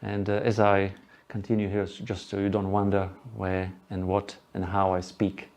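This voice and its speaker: Man, Slovak accent, low voice, gravelly, informal live presentation, chatty asides. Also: completely flat delivery, a little mellow. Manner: informal, chatty, halting, with asides and small laughs. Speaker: presenter at an electric car group event. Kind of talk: live presentation to a small audience.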